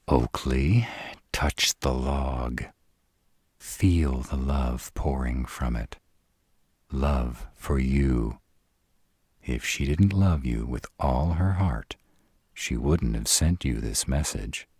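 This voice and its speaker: Roleplay audio: male performer as a fierce, devoted dragon-shifter mate, extremely low and husky, crude yet tender, recorded dry. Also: a little mellow, no room sound, exaggerated voice. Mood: earnest, tender. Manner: slow, crude-tongued, tender with partner. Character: protective, devoted male were-dragon lover, brash and fierce. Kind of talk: roleplay audio drama acting.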